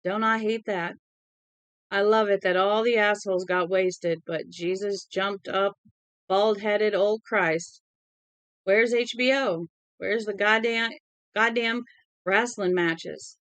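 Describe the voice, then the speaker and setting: A crude, volatile little Southern drunk from a novel, played by a woman reader. He rants and threatens in profane bursts, at her middle pitch, recorded very dry and without room sound. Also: fairly even intonation, normal speed, no echo, close to an everyday voice. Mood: drunken griping. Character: small, drunk, menacing young Southern man, crude and volatile.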